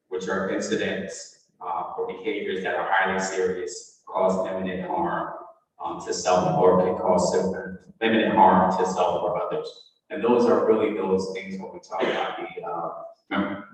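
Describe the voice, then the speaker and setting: A man giving a formal, explanatory presentation as a school district administrator at a meeting. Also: sits low. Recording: very echoey, reverberant hall, distant mic.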